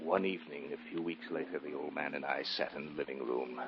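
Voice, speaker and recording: A man's voice: a bass voice, steady tone, brisk. An actor playing a troubled, secretly obsessed man in an old radio horror drama, polite but hesitant and intense. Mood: brooding, haunted. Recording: muffled old radio recording with music.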